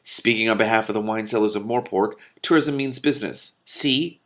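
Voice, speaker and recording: A man's voice: fairly low pitch, normal speed, a bit bright. A man voicing a gleeful old bearded merchant from a fantasy novel, cackling and chanting.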